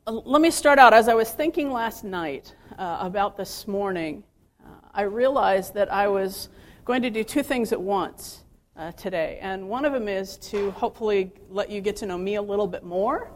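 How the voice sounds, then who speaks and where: A woman's voice: medium pitch, lively intonation, moderate pace. A woman in her fifties giving a personal, faith-centered talk to staff, chatty and self-deprecating, with many fillers and restarts. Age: 50s. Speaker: speaker addressing ministry staff.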